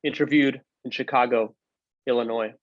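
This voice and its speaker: A man's voice: mid-range voice, steady tone, no echo. Man reading aloud, dry muffled sound. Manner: measured phrase-by-phrase reading, occasional slips. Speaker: book co-editor reading aloud. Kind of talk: read-aloud oral history narrative.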